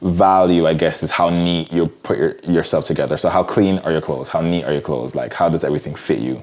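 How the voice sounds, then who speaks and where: A man with a very low voice, who grew up in the Caribbean, answers interview questions in a reflective, unhurried way. He circles his points, leaning on fillers and soft hedges as he thinks aloud. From Jamaica and the Cayman Islands. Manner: thoughtful, many fillers and hedges. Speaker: guest, fashion designer. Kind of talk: casual interview conversation.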